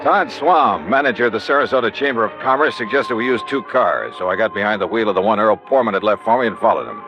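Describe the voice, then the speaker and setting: A man's voice: lowish voice, expressive, fast talker, over music. An actor playing a sardonic, impatient American insurance investigator who narrates his case in a 1950s radio drama. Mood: brisk narration. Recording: dull, noisy old radio recording.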